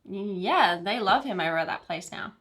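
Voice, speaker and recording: Woman acting gushy newlywed gal in a romcom, bright voice, spilling her story conversationally. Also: medium pitch, animated, normal speed, natural voice. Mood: earnest explaining. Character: chatty newlywed woman spinning a romantic tale. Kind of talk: film romantic comedy monologue.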